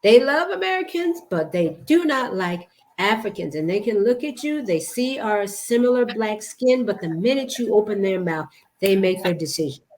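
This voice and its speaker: A woman's voice: mid-range voice, sing-song, moderate pace, a little mellow. Woman podcast host, upbeat and encouraging, says things twice to drive it home. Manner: encouraging, emphatic, repeats phrases. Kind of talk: casual conversation.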